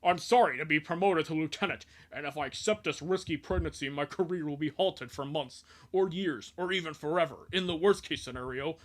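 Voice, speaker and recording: A man voicing a pleading, career-driven rabbit cop heroine in a melodramatic comic fan dub, with a raspy, strained voice. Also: fairly high pitch, fairly quick, few pauses, lightly acted. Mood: earnest, defensive.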